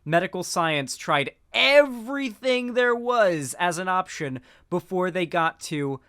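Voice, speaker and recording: A man's voice: sits high, lively intonation, unhurried, a bit bright, smooth, no room sound, clean studio sound. A man giving casual commentary on clips, rambling with frequent fillers and restarts. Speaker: political commentator.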